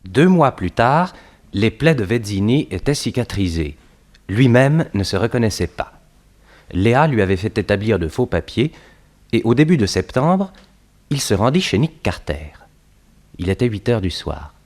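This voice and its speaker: Male narrator, fairly low pitch, dramatic storytelling, measured delivery, 1960s radio serial style. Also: big pitch swings, darkish tone.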